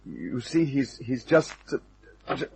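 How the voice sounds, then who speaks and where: Cornered hitman in an old radio play, really husky voice, stammering, pleading, scared. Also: medium pitch, very animated, slow, a little mellow, no room sound, full character voice, low-quality recording. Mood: nervous bargaining. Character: cornered, pleading killer for hire, frightened and evasive. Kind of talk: old-time radio crime drama acting.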